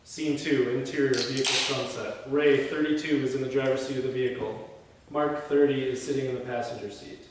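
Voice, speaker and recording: A man's voice: medium pitch, steady tone, fairly quick. A man reading screenplay scene headings and stage directions aloud as the narrator of a read-through. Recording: echoey hall from far off, faint noise.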